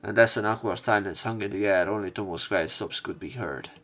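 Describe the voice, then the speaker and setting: Low-pitched man narrating a visual novel. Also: brisk, a bit bright, faint music behind. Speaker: let's player. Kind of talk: reading visual novel narration aloud with casual commentary.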